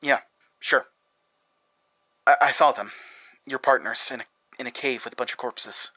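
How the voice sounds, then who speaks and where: A man narrating and acting in a horror audio drama. He stammers and repeats himself when tense. His voice sits in a middle pitch with a bright, forward tone. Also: moderate pace. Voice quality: anxious, stammering. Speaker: narrator and lead.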